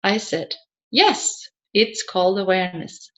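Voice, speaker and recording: A woman reading a scripted audiobook in a measured, deliberate way, phrase by phrase. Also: medium pitch, expressive, a bit bright. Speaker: audiobook narrator.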